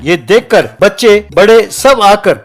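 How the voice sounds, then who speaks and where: Male storyteller, high-pitched voice, scripted comic tale narration, faint music behind. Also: lively intonation, slow pace.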